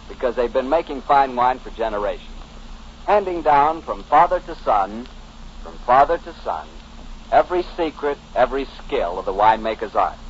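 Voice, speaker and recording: An American man works as a 1940s radio announcer and pitchman. His folksy, polished delivery is lively in pitch around an average male register. The recording is dull and a little noisy.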